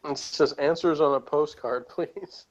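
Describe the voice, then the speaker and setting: A man's voice: fairly high pitch, measured pace. A man hosting a casual episode review. He is sarcastic, chuckles often and reads from his notes. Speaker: podcast host reviewing an episode. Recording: dull lo-fi audio.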